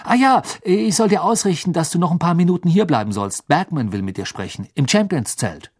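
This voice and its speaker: A man voicing a friendly, hurried young man in an audiobook, enthusiastic and rushing to pass on news. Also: on the high side, lively intonation, husky, voice pushed up.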